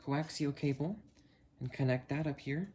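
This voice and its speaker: A hobbyist casually narrating an unboxing and test in a halting way, thinking aloud while working with their hands. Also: mid-range voice, warm tone. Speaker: hobbyist video creator. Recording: noisy, with clicks and handling sounds.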